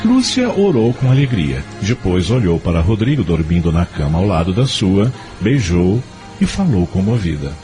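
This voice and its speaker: A male narrator of an audio novela with a fairly low voice. His scripted storytelling is expressive and very animated in pitch, with soft music underneath. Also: normal speed.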